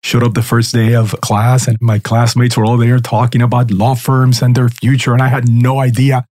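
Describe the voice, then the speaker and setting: A middle-aged man from Cuba talks casually as a guest on a podcast, recounting his path in a chatty, self-deprecating way. His voice sits at an ordinary pitch, and it is recorded close and dry with no room sound. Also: little pitch movement, moderate pace, darkish tone, no room sound. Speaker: guest lawyer. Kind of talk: casual interview chat.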